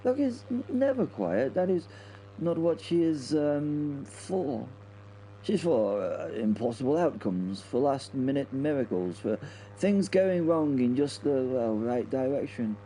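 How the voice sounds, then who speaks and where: A man's voice: mid-range voice, big pitch swings, a little slow, mellow, slight background noise, low-quality recording. A man playing a flustered British wing commander, telling his story hesitantly, stammering and repeating himself. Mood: wistful, subdued. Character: flustered, stammering male wing commander pilot recounting a mission. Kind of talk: dramatic first-person narration in audio drama.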